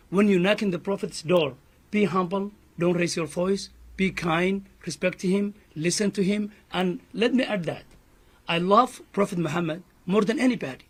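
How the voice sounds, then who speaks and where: A man's voice: high-pitched, husky. A man from Somalia with a Somali accent, an imam giving an earnest press conference statement full of "you know" fillers.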